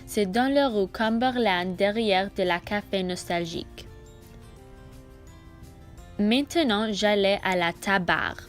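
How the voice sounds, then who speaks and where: A woman's voice: mid-range voice, slow pace, pauses often, soft background music. A young woman narrating a school-project video tour in a careful, read-aloud manner. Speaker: student presenting a school project. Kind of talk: scripted voice-over narration.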